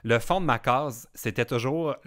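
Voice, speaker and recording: A man with a Quebec French accent, hosting a casual podcast and telling stories in an animated way. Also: medium pitch, moderate pace. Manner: animated storyteller, laughs often. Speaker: podcast host.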